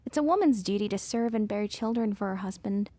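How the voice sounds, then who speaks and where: A woman's voice: medium pitch, a little fast, no room sound, natural voice. An actress playing a demure, agreeable nineteen-year-old society lady in a period audio drama, with a proper, polite way of speaking. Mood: earnest. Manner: proper, polite, soft-mannered.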